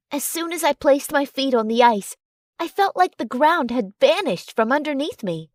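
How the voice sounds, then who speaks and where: A woman narrating a first-person romance story in an expressive, dramatic storytelling way. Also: high-pitched, dry recording, studio quality. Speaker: audiobook narrator.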